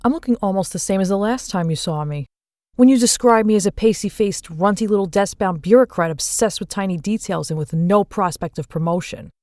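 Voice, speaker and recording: An officious director in heaven's bureaucracy, voiced by a woman for a comic dub. She is curt and sardonic at a middle pitch. The recording is close and dry. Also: a little fast, darkish tone, dry recording, lightly acted. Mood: indignant. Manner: clipped, sardonic.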